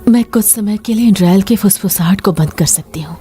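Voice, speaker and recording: A dark, mellow-voiced sorceress with faint music behind her, played by a woman. She is secretive, serious and hushed, in fantasy game drama style. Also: medium pitch, brisk, natural voice. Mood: guarded. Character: guarded sorceress, calm and secretive.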